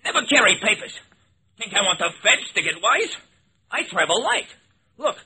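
A man's voice: sits high, very animated, normal speed, sharp tone, husky, heavily acted. An actor playing a brash, jittery 1920s gangster with slangy tough-guy talk in an old-time radio drama. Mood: indignant, wary. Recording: noisy old radio recording.

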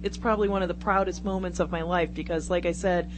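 Low-voiced woman on a noisy recording. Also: steady tone, fast. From Brockton, Massachusetts, United States. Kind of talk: casual radio conversation. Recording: very noisy radio recording with hum.

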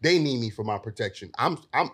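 A man's voice: mid-range voice, animated, brisk, a bit bright, slightly rough. A man speaking bluntly and with profanity, often cutting in during a heated panel debate.

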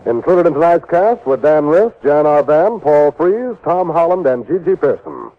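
Male American radio announcer, 1950s style: lightly high, lively intonation, formal scripted read, dull old broadcast sound. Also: normal speed, slight background noise. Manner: polished, formal. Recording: muffled vintage radio recording.

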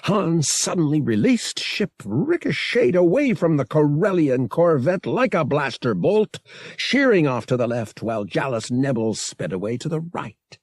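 A man's voice: fairly high pitch, very animated, measured pace, a little mellow, no echo, studio quality. A man reading an adventure novel as an audiobook narrator, in a dramatic scripted style.

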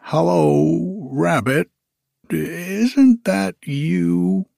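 A man voicing a slow, simple, cheerful male bear who hums in a sing-song way as he reads a storybook aloud. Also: on the high side, creaky, dark tone, no echo, clean studio sound, voice pushed up, full character voice. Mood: puzzled. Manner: slow, sing-song humming, puzzled musing.

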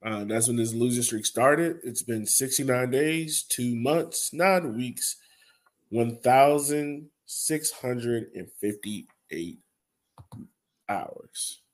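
A man's voice: medium pitch, takes it slowly. A man hosting a soccer fan podcast, giving a blunt, frustrated and casual recap. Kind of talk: casual sports recap discussion.

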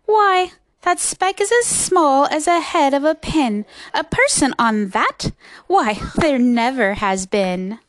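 A woman's voice: high-pitched, expressive, takes it slowly, no room sound, pitched above the performer's own voice. A woman voicing a sour, scornful kangaroo mother who scoffs and mocks in a storybook reading. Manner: scoffing exclamations.

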